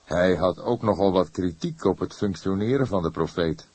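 A man playing a seasoned, thoughtful older police inspector in an audiobook, speaking in a measured, deliberate way. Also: deep voice, darkish tone, slightly rough, dry recording. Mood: explanatory. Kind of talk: audiobook character acting.

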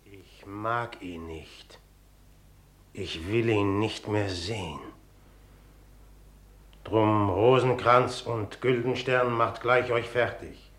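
A man's voice: lowish voice, steady tone, very slow, pauses often, fairly bright tone, reverberant. An actor playing a tormented, commanding usurper king in a radio tragedy, with heavy declamatory delivery. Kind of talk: classical stage tragedy radio acting.

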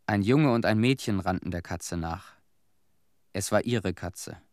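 Low-voiced young man, somber survivor played by an actor. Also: a little slow, darkish tone, dry recording, studio quality, close to an everyday voice. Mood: somber recollection. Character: young male Chernobyl survivor, somber, recalling childhood. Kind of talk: radio drama monologue.

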